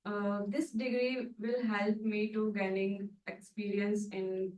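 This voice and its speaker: A woman's voice: medium pitch, flat delivery, takes it slowly, a little mellow. A woman, a graduate student plainly introducing herself to a class. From India. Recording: roomy, distant.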